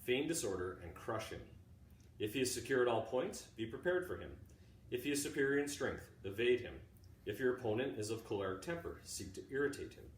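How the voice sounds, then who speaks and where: A middle-aged man, a teacher reading aloud in a deliberate way, pausing often between short phrases. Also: medium pitch, expressive. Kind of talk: informal introduction and measured read-aloud of a classic book. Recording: roomy, distant mic, faint background noise.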